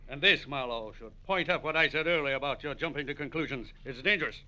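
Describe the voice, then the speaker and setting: High, sharp-voiced radio villain. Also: male voice, fast talker, lo-fi sound. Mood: smug, menacing. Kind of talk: 1940s radio drama acting.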